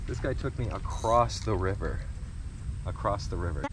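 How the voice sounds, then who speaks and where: A man's voice: fairly low pitch, expressive, moderate pace. An American man in his thirties presenting a casual outdoor fishing how-to, recorded on an action camera by a river. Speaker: angler presenting a fishing video. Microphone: action camera a short distance away. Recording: noisy outdoor camera audio.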